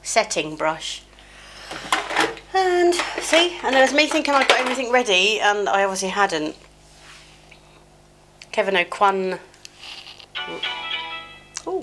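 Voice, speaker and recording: A woman's voice: medium pitch, expressive, a little slow, long pauses, noisy background. A middle-aged woman doing her makeup at home while chatting in a rambling, self-mocking way, slightly chesty with a cold. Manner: chatty, scattered, self-mocking, many fillers. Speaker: beauty vlogger. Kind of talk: rambling get-ready-with-me chat. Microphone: small mic clipped near her, sometimes covered.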